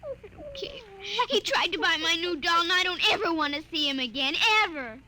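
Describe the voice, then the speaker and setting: Very high, childlike little girl. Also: lively intonation, fairly quick, bright, forceful tone, exaggerated voice. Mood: sulky anger. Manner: breathless, pleading and bossy. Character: excitable, willful little girl. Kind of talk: 1950s radio drama acting. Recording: noisy old 1950 radio recording.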